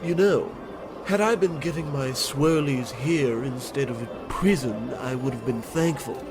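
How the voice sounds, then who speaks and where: An actor playing a flattering, chatty ex-convict casino attendant in his late sixties, with theatrical mock courtesy, in an old-time radio comedy. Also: medium pitch, unhurried, over loud music, lightly acted. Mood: chatty, boastful.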